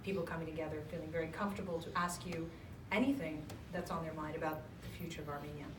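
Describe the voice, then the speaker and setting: A woman's voice: low-pitched, fairly even intonation, quick pace. Upbeat woman moderator in an echoey hall. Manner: polite, upbeat, laughs easily. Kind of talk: moderating a public talk. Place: event hall. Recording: echoey room, mic at a distance, noisy.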